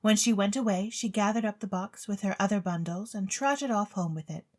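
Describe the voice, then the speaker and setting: A woman's voice: mid-range voice, a little fast. A woman storyteller reading a scripted tale in a theatrical storybook narration style.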